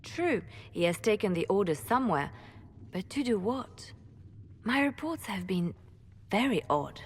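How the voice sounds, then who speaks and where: A woman playing a calm, shrewd spymaster in a video game, speaking with composed deliberation. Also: medium pitch, unhurried, slightly rough, in a large hall. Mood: composed, informative.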